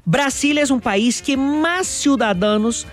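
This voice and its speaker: A woman's voice: high-pitched, takes it slowly, soft background music. A middle-aged woman from Brazil whose first language is Portuguese, speaking with a strong Brazilian accent in casual radio chat, playfully boastful and self-mocking, in a radio booth. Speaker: radio panelist.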